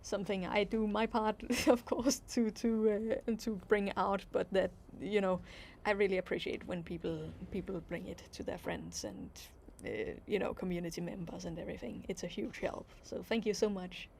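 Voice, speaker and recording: A woman's voice: medium pitch, normal speed, creaky, a little mellow, slight background noise. A woman with a Danish accent, hosting a casual livestream Q&A as an animation creator, reading viewer questions and answering thoughtfully with plenty of ums. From Denmark. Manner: reads viewer questions aloud, then answers thoughtfully with many fillers.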